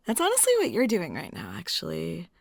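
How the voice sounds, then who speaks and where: A woman playing a flirty, lonely, talkative waitress in an intimate roleplay, chatting softly and casually and sometimes dropping near a whisper. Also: fairly low pitch, gravelly, no room sound, studio quality, heavily acted. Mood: smitten, playful. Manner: soft, rambling, giggly, drops into near-whispers. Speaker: audio roleplay performer.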